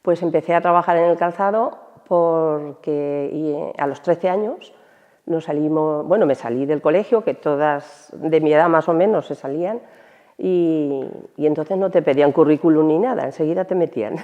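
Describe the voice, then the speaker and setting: A woman's voice: deep voice, normal speed, big-room sound, highs cut off. A woman in her sixties from Albacete, telling of her years as a shoe factory worker in a documentary interview. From Albacete, Spain. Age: 60s. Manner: relaxed storytelling with light laughs.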